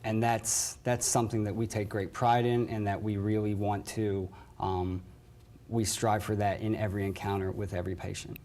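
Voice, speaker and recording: American guy, kinda low voice, courteous, giving interview answers as a hospital manager. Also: level tone, moderate pace, a little mellow. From West Virginia, United States. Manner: courteous, says yes ma'am.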